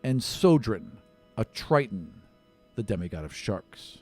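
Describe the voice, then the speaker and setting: A man voicing a solemn archon who instructs students in a tabletop fantasy game, speaking formally and deliberately. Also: lowish voice, sing-song, darkish tone, soft background music. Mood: grave, measured. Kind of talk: tabletop roleplay acting.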